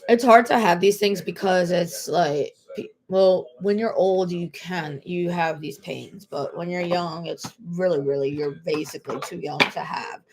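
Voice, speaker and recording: A woman's voice: on the low side, unhurried, background noise. Rambling woman in her twenties. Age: 20s. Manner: rambling, repeats herself, many fillers and restarts. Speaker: vlogger. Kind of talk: casual personal talk to camera.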